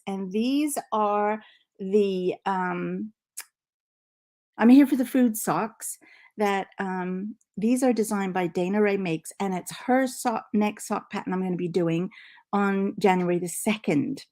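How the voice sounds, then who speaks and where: A middle-aged woman, originally from Liverpool, who now speaks with a Canadian accent and hints of her old one. She hosts a relaxed live stream from home, greeting viewers and rambling cheerfully with plenty of ums and asides, recorded on a laptop mic. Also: mid-range voice. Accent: Canadian English with faint Liverpool traces. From Liverpool, England. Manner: chatty, excitable, many fillers and asides. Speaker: knitting channel host. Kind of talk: casual live-stream chat.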